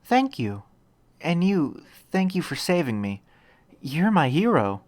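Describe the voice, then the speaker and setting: A man's voice: a little high, very animated, slow, no echo, pitched above the performer's own voice. A man voicing a weak, grateful, confused young woman in a narrated story, hesitant and polite. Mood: grateful, frail. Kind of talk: acted audiobook dialogue.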